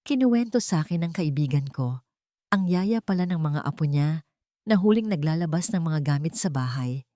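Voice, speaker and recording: A woman's voice: sits low, lively intonation, normal speed, warm tone, no echo. A storyteller woman plays a sly schemer in a Filipino audio drama. She talks in a pointed, insinuating way under sugary concern, with a Filipino accent. The recording is very dry.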